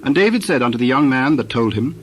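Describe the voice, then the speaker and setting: Guy narrating the bible, normal pitch, old cassette with some background noise. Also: animated, fast talker. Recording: noisy old cassette tape recording.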